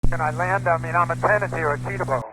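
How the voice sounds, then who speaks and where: A man's voice: fairly high pitch, fairly even intonation, racing. A male pilot making calls to air traffic control over aviation radio. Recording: very noisy cockpit radio transmission.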